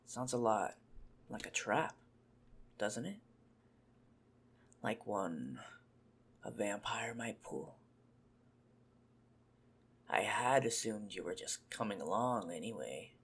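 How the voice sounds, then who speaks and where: Man acting out a slow, gravelly, teasing vampire. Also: lowish voice, a bit bright, full character voice. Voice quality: husky, gravelly, breathy. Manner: mocking, slow, condescending, often dropping to a whisper. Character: teasing, menacing, possessive male vampire toying with prey. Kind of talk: ASMR roleplay.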